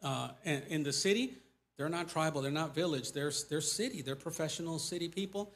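A missionary pastor in his forties with a Mexican accent, speaking casually in a church and taking questions, animated and gesturing as he talks. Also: fairly high pitch, a little fast. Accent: Mexican Spanish. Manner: animated, gesturing, voice rising when stressing points. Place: church sanctuary before a pulpit. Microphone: lapel mic.

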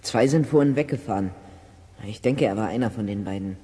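A man's voice: on the low side, fairly quick, dark tone, slightly rough, big-room sound. An actor playing a dry, precise know-it-all boy in a children's radio play. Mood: calm.

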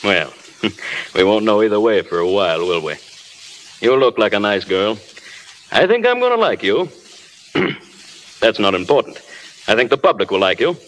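An actor playing a brisk, paternal, kindly studio boss in a measured old radio drama style. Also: male voice, fairly low pitch, big pitch swings, fairly bright tone. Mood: frank, kindly. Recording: echoey hall, noisy vintage radio recording.

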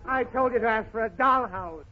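A man's voice: extremely high pitch, moderate pace. An actor playing an exasperated, coaxing father of a naughty little girl in a 1930s radio comedy. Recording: muffled, noisy 1930s radio recording.

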